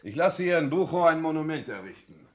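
A pompous, boastful social climber. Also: male voice, fairly high pitch, big pitch swings, fairly quick. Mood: grandiose. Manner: pompous, declamatory, theatrical. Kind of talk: 1960s German radio play acting.

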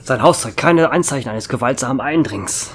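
An actor playing a frustrated, gruff small-town police chief in a German audio drama, brusque but caring. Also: male voice, mid-range voice, expressive, brisk, a bit bright, natural voice. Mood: shaken, baffled.

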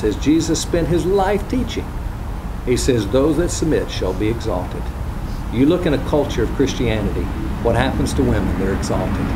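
A man's voice: medium pitch, noisy background. A man officiating an outdoor wedding, earnestly charging the bride and groom in a sermon style, recorded from a distance outside a stone church. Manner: earnest, exhorting, repeats "I charge you". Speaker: officiating pastor.

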